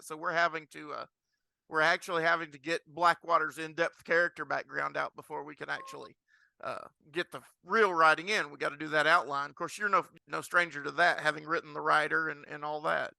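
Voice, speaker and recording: A man's voice: fairly high pitch, gravelly, bright, forceful tone, dry recording. A man hosting a podcast, chatting and asking questions in a casual interview style.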